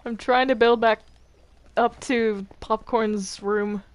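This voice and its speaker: A woman's voice: on the high side, steady tone, slow, dry recording. A young woman chatting and bantering casually with friends while playing a video game. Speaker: player in a group gaming video.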